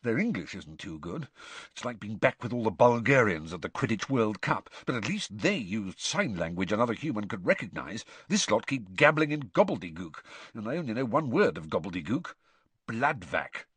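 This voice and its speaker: A man's voice: fairly low pitch, big pitch swings, normal speed, a bit bright, slightly rough, no room sound, heavily acted. A man voicing a jovial, shifty middle-aged sports official in an audiobook, falsely chummy, with a hesitant, confiding, blustering manner. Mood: confiding grumbling.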